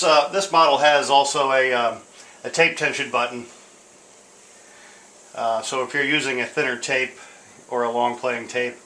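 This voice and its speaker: A man with a bright, forward voice explains equipment casually and a bit hesitantly. He sounds informal and unscripted, recorded in an echoey room with faint background noise. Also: medium pitch. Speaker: tape deck restorer demonstrating a machine. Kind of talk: casual unscripted product demonstration. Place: indoor room at home. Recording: roomy, echoing sound with hiss.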